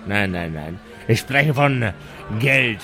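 A man's voice: fairly low pitch, animated, takes it slowly, vocal fry, bright, forceful tone, voice pushed down, exaggerated voice. A man voicing a well-off, courteous dwarf banker who grumbles wearily in a tabletop game, with genteel manners and sighing interjections. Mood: grumbling. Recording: music playing behind the voice.

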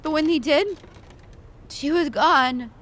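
A woman's voice: high voice, slow pace, a bit bright. A woman playing an overwrought, self-dramatizing character with an emphatic delivery in a comic audio drama. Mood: hysterical, mounting. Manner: melodramatic, emphatic. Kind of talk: comedic audio drama acting.

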